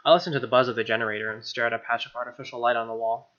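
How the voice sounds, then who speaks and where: A man's voice: medium pitch, steady tone, rapid-fire, fairly bright tone. A young man reading game text aloud as a let's play host, with irreverent, slangy commentary. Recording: dull lo-fi mic, music behind. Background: game music with guitar.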